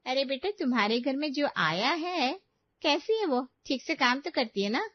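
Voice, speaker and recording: A woman's voice: high voice, expressive, normal speed, dry recording, pitched above the performer's own voice. Woman acts middle-aged neighborhood busybody for a cartoon story; gossipy, prying, teasing, recorded dry and slightly dull.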